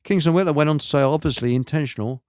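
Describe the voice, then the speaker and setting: A man's voice: fairly high pitch, quick pace, a little mellow, dry recording, clean studio sound. A man hosting a casual, rambling live radio chat, blunt and grumbling with mild British swearing. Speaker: radio show host.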